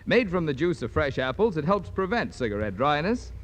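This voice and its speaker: A man's voice: on the high side, very animated, brisk. Echoey 1940s American radio announcer man. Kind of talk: 1940s radio sponsor read. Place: radio studio rehearsal. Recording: hall reverb, dull noisy 1940s transcription.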